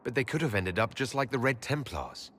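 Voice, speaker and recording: An actor playing a composed leader of a fantasy inquisition in a video game, speaking in a measured, inquiring way. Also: male voice, mid-range voice, lively intonation, pushed and bright, slightly rough. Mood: calm, questioning. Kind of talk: dramatic fantasy game dialogue.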